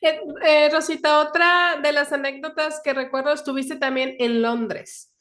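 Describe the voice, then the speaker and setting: A woman's voice: high voice, steady tone, unhurried, fairly bright tone. A woman hosting a casual conversational interview, asking questions in a hesitant way as she searches for her phrasing. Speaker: interviewer, host.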